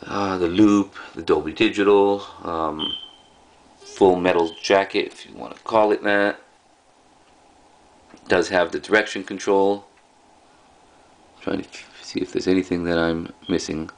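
A man casually showing items as a video host, speaking in halting, offhand short phrases. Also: sits low, fairly even intonation. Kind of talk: casual product showcase. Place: indoors in a room at home. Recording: clearly noisy home recording.

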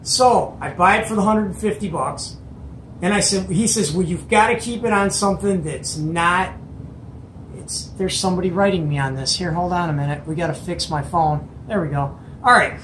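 A man's voice: high-pitched, normal speed, a bit bright. Middle-aged male hobby host, chatty emphatic storyteller, recorded in an echoey small room with some background noise. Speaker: host of a CB radio show. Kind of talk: casual storytelling to camera. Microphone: camera or phone at arm's length. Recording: roomy echo, background noise.

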